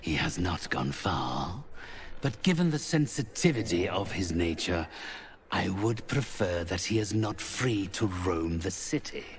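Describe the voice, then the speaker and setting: An actor playing a haughty, cold, mercenary necromancer in a fantasy game, speaking slowly with theatrical condescension. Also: male voice, low-pitched, animated, slight background noise. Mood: cold, businesslike. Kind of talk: cinematic fantasy game dialogue.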